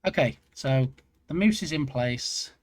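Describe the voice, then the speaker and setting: A man's voice: medium pitch, expressive, unhurried. Chatty man streaming. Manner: chatty, self-mocking, rambling. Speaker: game dev streamer. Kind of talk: casual live-stream chat.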